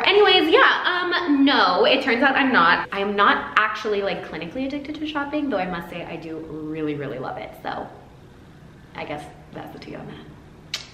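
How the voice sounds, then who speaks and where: A woman's voice: mid-range voice, bright, forceful tone, far from the mic. A young woman from the United States answering fan questions in a playful, jokey self-interview vlog, with big swings of inflection. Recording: roomy echo, faint noise.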